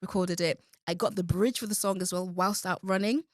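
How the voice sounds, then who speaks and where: Woman singer being interviewed, earnest and thoughtful, lowish voice, dry close sound. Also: steady tone, fairly quick, no room sound. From London. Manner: earnest, reflective, warm about her faith. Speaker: interview guest, gospel singer. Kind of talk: casual interview talk.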